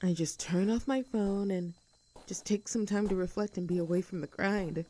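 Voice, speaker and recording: A woman's voice: medium pitch, moderate pace, mellow, lightly acted, low-quality recording. A woman playing a young, outdoorsy tomboy friend in an audio roleplay, chatting casually in an upbeat, caring and reassuring way. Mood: reflective, reassuring. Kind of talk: roleplay audio acting, casual intimate chat.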